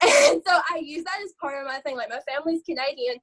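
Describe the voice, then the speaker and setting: A woman with a Southern Alabama accent, chatting loudly and rambling casually as she shares tips on a live stream. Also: high-pitched, expressive, quick pace. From Alabama, USA. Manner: loud, chatty, rambling, laughs often. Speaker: social media seller sharing branding tips.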